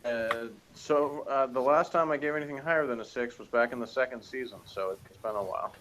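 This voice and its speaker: A man's voice: mid-range voice, brisk, fairly bright tone, a little hiss or hum, poor audio. A man co-reviewing a TV series in casual, unscripted conversation.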